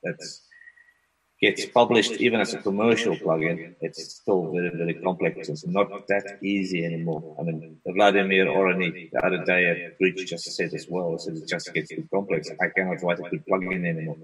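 Deep-voiced man, native Afrikaans speaker. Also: moderate pace, far from the mic, rough recording. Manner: relaxed, rambling with frequent restarts. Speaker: software consultant, guest. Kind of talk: remote interview. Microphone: video call.

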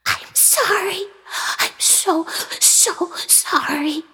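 An actress playing a frightened, timid young woman who speaks in a trembling, breathy whisper in a horror comedy radio play. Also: extremely high pitch, drawn-out words, sharp tone, big-room sound, exaggerated voice, poor audio. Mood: tearful.

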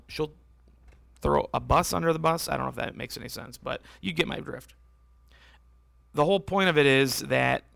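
A man hosting a casual solo podcast, rambling and correcting himself as he talks, with a voice that is going hoarse. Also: medium pitch, fairly bright tone, no echo. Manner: rambling, self-correcting, conversational. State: losing his voice, hoarse. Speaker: podcast host.

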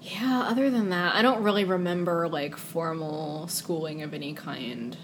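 A woman's voice: lowish voice, normal speed, background noise. A woman in her forties with a Connecticut accent, a guest chatting casually in an interview and laughing often.

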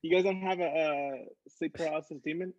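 A man's voice: a little high, steady tone, a little fast, a little mellow, lo-fi sound. A man chatting casually and playfully in a group. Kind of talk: casual joking group chat.

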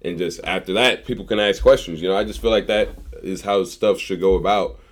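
A man in his twenties from Missouri, talking as a podcast guest in a rambling, animated way and repeating phrases for emphasis. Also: low-pitched, brisk. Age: 20s. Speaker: guest, local activist. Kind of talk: casual conversation.

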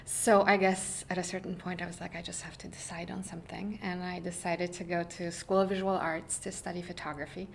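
Low-voiced woman. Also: flat delivery, fairly quick, creaky, hardly any pauses, a little hiss or hum. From Poland. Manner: thoughtful, with frequent fillers and restarts. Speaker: guest artist. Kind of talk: casual interview chat. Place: studio space indoors.

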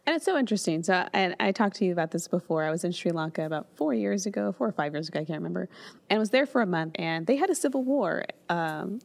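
A woman's voice: fairly low pitch, animated, fast, creaky. A woman hosting a podcast, asking questions in an engaged, encouraging way. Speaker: podcast host. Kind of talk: interview questions.

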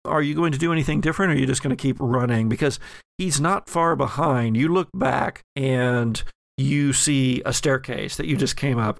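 A man's voice: medium pitch, creaky, no room sound, clean studio sound. A man narrating a horror role-playing audio drama as game master, with descriptive, suspenseful storytelling.

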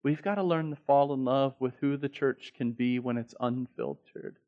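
A man's voice: mid-range voice, normal speed, dull audio. A middle-aged male pastor preaching a sermon in a conversational, storytelling way, repeating words as he restarts and rising to emphatic peaks.

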